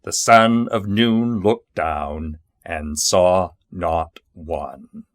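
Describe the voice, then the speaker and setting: Slow, low-voiced man reciting poetry. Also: no echo, clean studio sound. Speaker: poetry reader.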